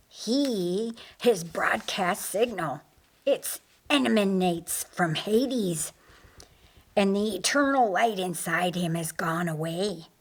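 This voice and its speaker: A woman voicing a scheming, gloating male fallen angel in an audiobook, whispery and drawn-out. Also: medium pitch, husky, full character voice. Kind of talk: audiobook character acting.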